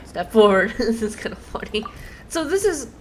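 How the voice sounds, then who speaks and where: A woman's voice: a little high, very animated, moderate pace, slight background noise. A woman game streamer giving casual, playful, slangy commentary and reacting as she plays. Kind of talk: casual gameplay commentary, reading dialogue aloud.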